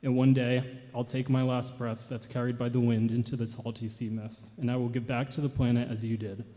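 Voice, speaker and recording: A man in his twenties, a grandson giving a memorial tribute and reading a poem in an earnest, measured way. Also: mid-range voice, mellow. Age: 20s. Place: large church hall. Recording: long hall reverb.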